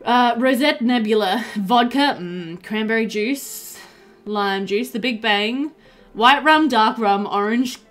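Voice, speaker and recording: A woman's voice: fairly high pitch, slow pace, fairly bright tone, faint music behind. A young woman giving chatty, informal, giggly commentary while playing a video game. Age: young adult. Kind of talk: casual let's play commentary.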